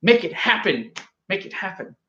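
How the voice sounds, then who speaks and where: High, lively man reviewing wrestling. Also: reverberant, dull audio. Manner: rambling, joking, quick to argue. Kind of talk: casual live review chat.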